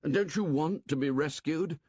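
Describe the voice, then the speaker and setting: A man's voice: a little high, moderate pace, darkish tone, breathy edge, no echo, dull audio, voice pushed up. A man voicing an earnest boy leader who speaks firmly and reasonably. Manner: firm, earnest.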